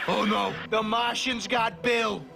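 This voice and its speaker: An actor voicing a sarcastic, excitable tuna fish puppet sidekick in a scripted comedy sketch, with dramatic, impatient delivery. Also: medium pitch, slow, creaky, over music. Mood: alarmed, suspicious. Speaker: puppet co-host.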